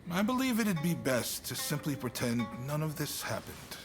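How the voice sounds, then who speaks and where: An actor playing an affable, unflappable and diplomatic gentleman official in a video game, breezy and conversational. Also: on the high side, very animated, normal speed, music in the background. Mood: calm, musing. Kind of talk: video game cutscene acting.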